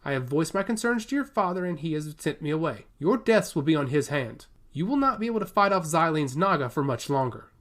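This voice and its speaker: Storyteller as young male diplomat villain: haughty, formal, coolly menacing, animated pitch. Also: brisk, hardly any pauses, natural voice. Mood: cold menace. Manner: imperious, formal, coolly threatening. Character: arrogant young male envoy of a rival duchy.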